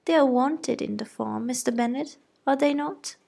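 A woman voicing an excitable, fussy middle-aged mother who gushes eagerly in a storybook reading. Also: moderate pace, creaky, breathy edge, full character voice. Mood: gushing. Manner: shrill, gushing, eager.

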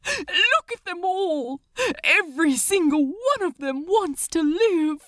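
A woman plays an anguished male angel in a dramatic story reading, gentle, selfless and stubborn. The voice is extremely high and swings expressively, slow and tenderly imploring. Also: lively intonation, no room sound, studio quality, exaggerated voice. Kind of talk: dramatic fan fiction audiobook dialogue.

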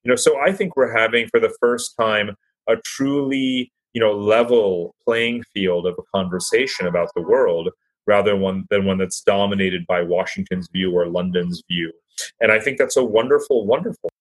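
A man with a fairly low voice speaks as an interview guest. He gives articulate, conversational expert commentary, explaining his points and repeating words for emphasis. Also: moderate pace, low-quality recording.

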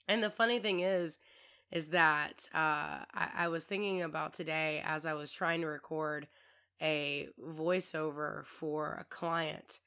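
A woman with a low pitch and a hoarse, worn-out voice hosts her own podcast. She chats on in a loose, rambling way, often breaking off mid-thought, and her voice still cuts through with a bright, forceful edge. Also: steady tone, slow pace. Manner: rambling, chatty, self-interrupting. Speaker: podcast host. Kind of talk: casual solo podcast monologue.

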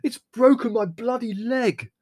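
A man voicing a hot-tempered, swearing young brother in an audiobook, blunt and shouting. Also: extremely high pitch, a little slow, dry recording, clean studio sound, voice pushed up. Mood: roaring, furious.

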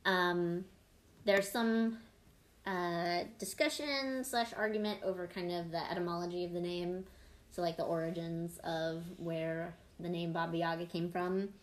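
A woman's voice: lowish voice, steady tone, measured pace. A woman hosting a podcast, explaining and telling things in an informal, irreverent, sweary conversational style. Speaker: podcast host. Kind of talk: casual conversational storytelling and explaining.

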